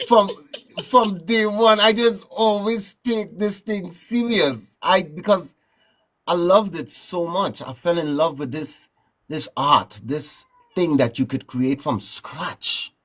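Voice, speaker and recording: A man from Trinidad with a Trinidadian accent, telling stories in a relaxed, rambling way as a guest in a casual interview. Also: high-pitched, slow. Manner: relaxed, rambling, frequent "you know what I'm saying". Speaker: guest musician.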